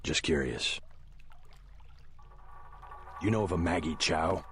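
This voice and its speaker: Very deep, stoic American agent. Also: male voice, slow, soft background music. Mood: deadpan. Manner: flat, deadpan, terse. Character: stoic young American male government agent, serious and clipped. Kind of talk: video game voice acting.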